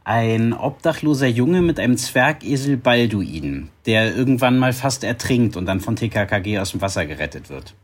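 A man's voice: mid-range voice, very animated, moderate pace, hardly any pauses. A man, a guest voice actor, telling anecdotes in an informal, searching way. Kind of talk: casual chat, anecdotes.

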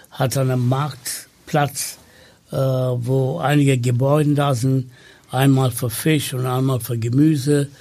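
Slow, gravelly man. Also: mid-range voice, darkish tone. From Sri Lanka. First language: Tamil. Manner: slow and hesitant with many fillers. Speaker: podcast guest. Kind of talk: conversational interview.

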